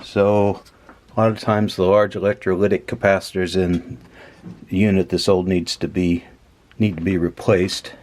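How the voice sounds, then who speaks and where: A man with a low voice talks through things in a casual, explanatory way. He often pauses mid-phrase, as if working it out while he speaks. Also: flat delivery, darkish tone, a little hiss or hum. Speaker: host of a vintage electronics repair channel. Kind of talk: casual explanatory hands-on commentary.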